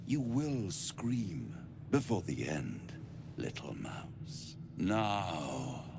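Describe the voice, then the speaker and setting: Gravelly, theatrical game villain. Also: male voice, sits low, big pitch swings, noisy background, full character voice. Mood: cruel threat. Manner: theatrical, menacing, drawn-out delivery. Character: sadistic male devilish predator taunting his prey. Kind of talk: video game villain acting.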